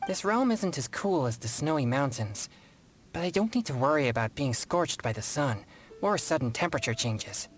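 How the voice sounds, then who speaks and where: A man plays a serious teenage boy exorcist from a video game. The manner is formal, courteous and very earnest. The pitch moves in lively swings, with soft music behind the voice. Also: moderate pace, close to an everyday voice. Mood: polite, composed. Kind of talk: scripted video game voice acting.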